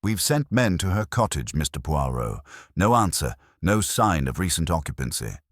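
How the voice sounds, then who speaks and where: A man voicing a brisk, businesslike middle-aged police inspector. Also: low voice, very animated, dry recording, clean studio sound.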